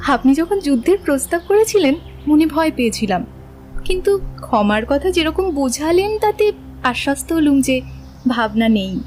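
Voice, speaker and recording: Composed, curious young woman, played by an actress, high-pitched, politely teasing, romantic audio drama over soft music. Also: very animated, normal speed. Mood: gently teasing. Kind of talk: dramatised audiobook dialogue acting.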